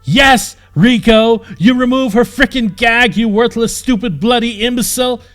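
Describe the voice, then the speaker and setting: A nasty bandit boss for a fantasy audiobook, high strained pitch, sneery and fed up, music behind. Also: male voice. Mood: furious. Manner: sneering, shouting, exasperated, drawn-out emphasis. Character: bald, hot-tempered male bandit captain, cruel bully.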